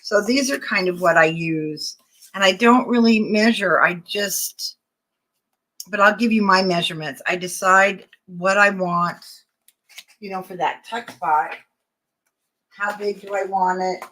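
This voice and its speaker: A woman's voice: medium pitch, unhurried, sharp tone, rough recording. An older woman teaching a craft tutorial, chatting and greeting viewers as she works, her voice wearing thin. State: losing her voice. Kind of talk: live-streamed craft tutorial.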